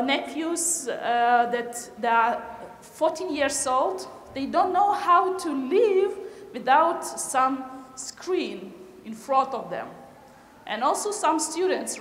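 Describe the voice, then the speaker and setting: Woman speaking in an echoey hall. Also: high-pitched. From Kyrgyzstan. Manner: hesitant, many fillers, non-native phrasing. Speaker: conference speaker. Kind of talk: conference talk. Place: large lecture hall. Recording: echoey hall with reverb, faint noise.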